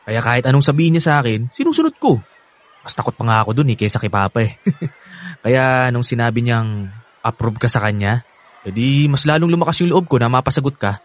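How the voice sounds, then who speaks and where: A man's voice: mid-range voice, lively intonation, a little fast, natural voice. An actor playing an affectionate, eager young man with a Filipino accent in a radio drama, chatty and earnest. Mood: earnest, candid. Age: young adult. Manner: earnest, chatty, laughs often.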